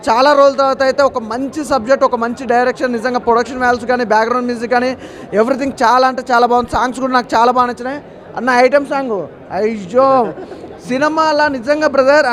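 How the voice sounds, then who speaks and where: A man's voice: very high voice, fairly bright tone. A man giving an enthusiastic, informal reaction to camera as a member of the public, speaking emphatically. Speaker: moviegoer giving a film reaction.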